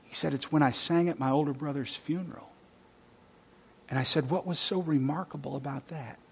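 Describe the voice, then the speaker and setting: A male pastor preaching a sermon, reading scripture loudly and dropping to soft, hushed whispers. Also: a little high, brisk, creaky, husky. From Texas.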